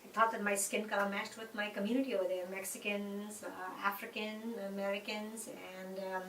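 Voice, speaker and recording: A woman's voice: medium pitch, flat delivery, fairly quick, a bit bright, slight background noise. A middle-aged woman from India with an Indian accent, speaking in an interview in a reflective, earnest way, with many fillers and restarts. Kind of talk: conversational interview. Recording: echoey room, mic at a distance.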